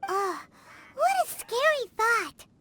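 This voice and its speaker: A woman's voice: very high voice, very animated, takes it slowly, sharp tone, slight background noise, exaggerated voice. A woman voicing a small, eager, chatty childlike companion creature in a game.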